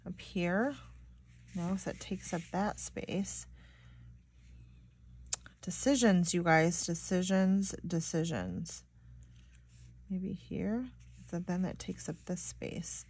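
A woman's voice: lowish voice, slow pace, long pauses, a little mellow, slightly rough. A young woman with a slightly stuffy, nasal voice, rambling casually to viewers while decorating a planner. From Michigan. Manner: rambling, chatty, many "like"s, talks to viewers. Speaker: planner vlogger.

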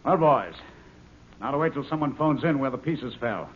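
An actor in a 1950s radio drama playing an eager male scientist who leads a saucer project, excitable and commanding. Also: mid-range voice, normal speed, breathy edge. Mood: earnest. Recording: tinny old radio broadcast with hiss.

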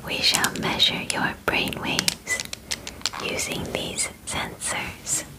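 A woman in her twenties playing a calm, clinical female alien examiner in whispered ASMR roleplay, close to the mic, giving soft, formal, measured instructions. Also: close to an everyday voice, rough recording. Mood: calm, soothing. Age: 20s. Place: small bedroom, indoors. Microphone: close mic, just in front of her.

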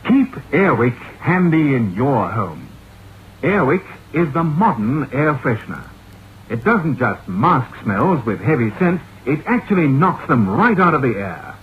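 Old time radio ad guy, really lively delivery, thin tinny recording. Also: mid-range voice, measured pace, slight background noise. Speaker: commercial announcer. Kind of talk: vintage radio advertisement read.

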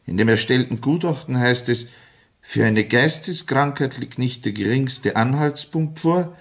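An actor playing a stern, appalled police inspector with an Austrian accent, formal and reproachful, in a radio crime drama. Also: male voice, medium pitch, unhurried, lightly acted. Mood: stern, probing.

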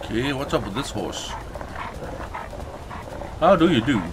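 A man giving casual, excitable, sweary commentary as he hosts a gaming let's play. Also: medium pitch, a little slow, very noisy. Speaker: gaming video host. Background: fantasy video game audio.

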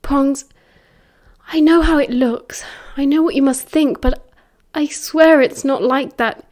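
A woman's voice: high-pitched, fairly even intonation, slow pace, darkish tone. A woman voicing a hot-headed, defensive teenage schoolboy in a story, snappy and sarcastic yet anxious. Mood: pleading. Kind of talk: dramatised story reading.

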